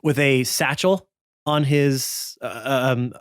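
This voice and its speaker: A man working as game master, improvising suspenseful narration for a tabletop western in a halting, lingering way. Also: mid-range voice, steady tone, dry recording, clean studio sound.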